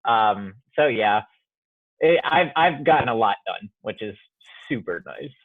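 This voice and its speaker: A man's voice: medium pitch, lively intonation, a little slow, no echo. A young man from Iowa talks through a narrow, phone-like line with no room sound at all. He is a student playwright on a remote call, and he rambles and restarts his thoughts as he goes. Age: young adult. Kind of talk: remote interview conversation. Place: home studio apartment. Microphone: phone or video call. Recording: dry tinny phone line.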